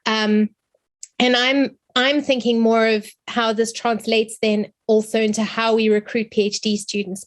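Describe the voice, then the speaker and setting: A woman with a South African accent, her voice a little hoarse and pitched rather high. She works through her question in an online seminar, thinking aloud with frequent restarts and small apologies. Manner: searching, restarts often, apologetic. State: hoarse, recovering from illness. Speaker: seminar audience member asking a question. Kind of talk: thoughtful academic question. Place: online seminar, video call.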